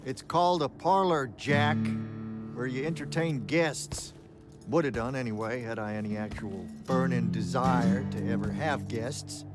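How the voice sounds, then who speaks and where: A man's voice: medium pitch, unhurried, natural voice. An actor playing a grumpy, folksy recluse in a fantasy video game, blunt and cantankerous in his talk. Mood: grumbling. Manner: cantankerous, folksy turns of phrase. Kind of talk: video game cutscene acting. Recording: loud music behind the voice.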